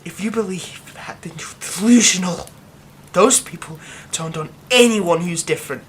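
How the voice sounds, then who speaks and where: A man voicing a grieving, reclusive young man in an ASMR audio roleplay, speaking in soft half-whispers that break into bitter, scornful outbursts. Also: high-pitched, moderate pace, a little hiss or hum, natural voice.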